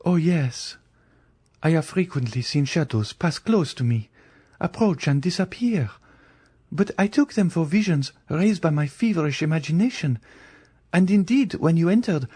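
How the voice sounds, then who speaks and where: A man voicing a frightened, sickly young noblewoman who pleads in a high, breathless voice. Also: animated, normal speed, dark tone, slightly rough. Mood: anxious.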